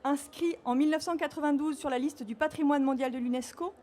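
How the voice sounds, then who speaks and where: A woman from Normandy giving a measured, solemn official speech at a ceremony. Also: high voice, mellow. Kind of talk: formal read speech.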